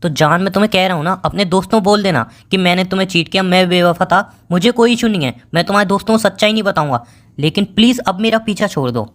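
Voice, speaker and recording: A man's voice: sits high, quick pace, fairly bright tone. Man acting a bitter, distrustful young boyfriend. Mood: bitter, fed up. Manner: accusing, sarcastic, interrupting. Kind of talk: acted romantic phone-call drama in casual colloquial speech.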